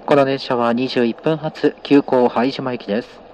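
A man's voice: medium pitch, steady tone, normal speed, a little mellow, highs cut off, slight background noise. A man working as a train conductor, making formal, polite announcements to passengers over the train's PA.

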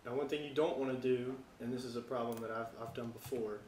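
An American man giving a casual software tutorial, talking informally and thinking aloud as he works. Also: mid-range voice, steady tone, fast talker, roomy sound, a little hiss or hum. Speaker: tutorial presenter.

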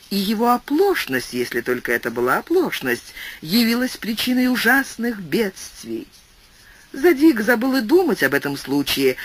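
Husky man reading an audiobook. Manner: expressive storytelling with wide swings of pitch. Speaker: audiobook narrator. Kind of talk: literary audiobook narration.

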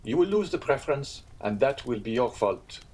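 A man voicing a shrewd young gentleman traveller in an audiobook, speaking in a firm, bargaining manner. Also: medium pitch, sing-song, normal speed, slight background noise, lightly acted.

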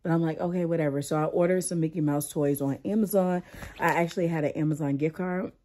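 Low, dark-voiced woman, losing her voice. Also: fast talker. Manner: chatty, animated storytelling with "like" and "y'all". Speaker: vlogger, mother. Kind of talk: casual chat to camera.

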